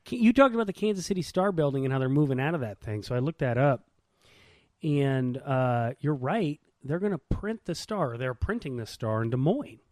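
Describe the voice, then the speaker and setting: A man's voice: medium pitch, lively intonation, normal speed, a little mellow, no echo, studio quality. A man chatting casually as a podcast co-host, telling stories in an informal, slangy way. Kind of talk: casual chat.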